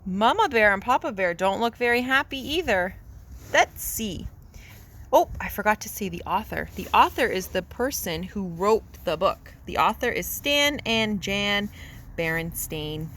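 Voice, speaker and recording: Slow-paced woman reading a picture book aloud. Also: medium pitch, animated, a bit bright. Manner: explanatory, simple teacherly phrasing. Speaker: read-aloud storyteller. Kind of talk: children's picture-book read-aloud with asides and questions.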